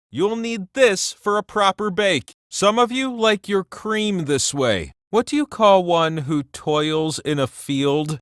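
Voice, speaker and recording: A man voicing a whimsical, all-knowing talking wheel that hosts a trivia video game, theatrical and playful, with drawn-out emphasis. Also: high-pitched, a bit bright, no echo, studio quality, full character voice. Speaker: game show host. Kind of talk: scripted trivia game hosting.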